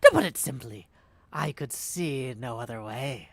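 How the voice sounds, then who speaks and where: A man's voice: medium pitch, lively intonation, unhurried, gravelly, no room sound, pitched below the performer's own voice. A man practising game voice acting as a solemn, proud mythic combatant, with formal, theatrical delivery. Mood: solemn, resigned.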